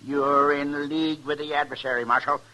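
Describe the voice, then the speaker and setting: An actor playing a self-righteous, fanatical American frontier moralist in an old radio western, stern, preachy and accusing. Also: male voice, fairly high pitch, flat delivery, normal speed, pushed and bright. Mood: indignant, scornful. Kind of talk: 1950s radio western acting.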